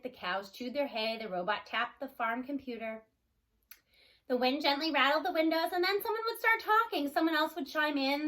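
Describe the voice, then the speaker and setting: A woman's voice: high-pitched, a bit bright, rough recording. A woman narrating a children's storybook read-aloud.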